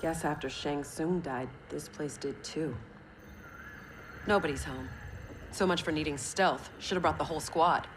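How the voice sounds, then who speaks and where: A woman's voice: low-pitched, measured pace, background noise. An actress playing a serious, guarded young female soldier in a video game cinematic. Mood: alert. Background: music.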